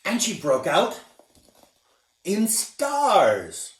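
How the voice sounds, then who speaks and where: A man reading a picture book aloud for children. He reads slowly with a wide, lively swing in pitch. The voice echoes in a roomy space, captured from a distance. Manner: expressive, showing pictures as he reads. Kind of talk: children's read-aloud narration.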